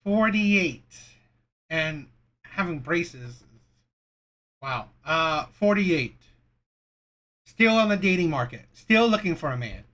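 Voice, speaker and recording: A man's voice: on the high side, animated, slow pace, long pauses, a bit bright. A man giving casual, opinionated commentary as a video host, in a rambling, repetitive way. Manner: rambling, repetitive, rhetorical questions. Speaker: video host reacting to dating clips.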